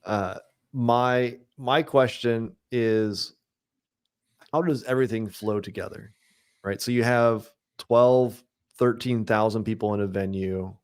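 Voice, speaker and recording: Brief: low-pitched male podcast host, relaxed musing delivery. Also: takes it slowly, clean tone. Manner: musing, thinking out loud. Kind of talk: casual chat.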